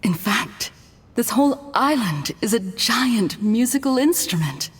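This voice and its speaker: An actress voicing a serene young woman attuned to nature in a game, speaking in a slow, dreamy, descriptive way, with a long reverb on the recording. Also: on the high side, lively intonation, slightly rough, heavily acted. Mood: reverent.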